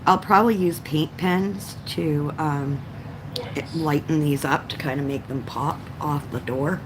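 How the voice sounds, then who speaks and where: A middle-aged woman from Canada chatting casually on a livestream in a halting, rambling way, often clearing her throat. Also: sits low, fairly even intonation, noisy background. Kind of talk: casual rambling chat while coloring.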